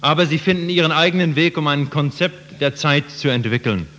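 A man's voice: a little high, a little fast, a bit bright, a little hiss or hum. A man interpreting a sermon phrase by phrase for the listeners. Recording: muffled, reverberant hall.

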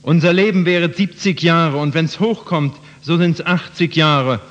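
A man's voice: a little high, measured pace, fairly bright tone. A man interpreting a sermon phrase by phrase for the listeners. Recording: muffled, reverberant hall.

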